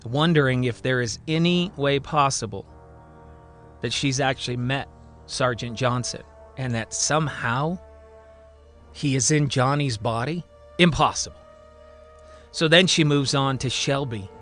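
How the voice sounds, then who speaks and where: A man's voice: medium pitch, measured pace, pauses often, fairly bright tone, soft background music. A man telling a scripted story as a podcast storyteller, with a theatrical, emphatic and suspenseful delivery. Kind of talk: dramatic scripted story narration.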